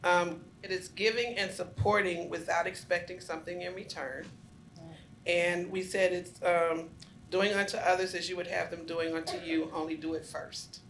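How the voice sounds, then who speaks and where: A woman at a community meeting calmly summing up what her group discussed. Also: on the low side, normal speed, a little hiss or hum. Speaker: meeting participant. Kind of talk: spontaneous group report. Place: large meeting room.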